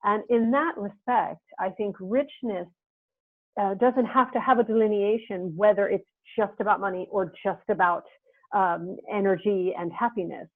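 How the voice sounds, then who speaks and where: A woman's voice: medium pitch, moderate pace, no room sound. A woman hosting a video-call interview, earnest and reflective, speaking in long winding thoughts. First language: English. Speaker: interviewer and host. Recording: dry tinny phone-like video-call audio.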